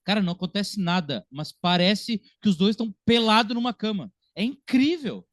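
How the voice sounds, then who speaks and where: A high-pitched male voice with a Brazilian accent, recorded dry and close. He discusses films in a colloquial, emphatic way, as a co-host would in a relaxed podcast chat. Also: moderate pace, dry recording. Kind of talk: casual film discussion.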